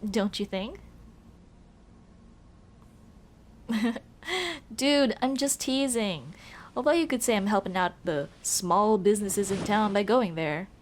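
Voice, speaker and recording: A woman's voice: medium pitch, unhurried, slight background noise, natural voice. A woman playing a young tomboy childhood friend, casual, teasing and caring, in a scripted ASMR roleplay. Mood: relaxed, teasing. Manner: casual, teasing, addresses listener as dude. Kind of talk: ASMR roleplay, scripted one-sided conversation.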